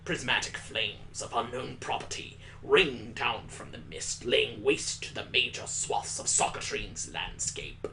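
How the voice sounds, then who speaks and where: A man voicing a grave, measured schoolteacher who lectures in a formal, deliberate way in a visual novel. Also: mid-range voice, fairly even intonation, creaky, pushed and bright, a little hiss or hum, poor audio. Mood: solemn, didactic.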